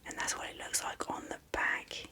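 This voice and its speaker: Whispered ASMR unboxing host, soft and unhurried. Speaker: video host showing an unboxing.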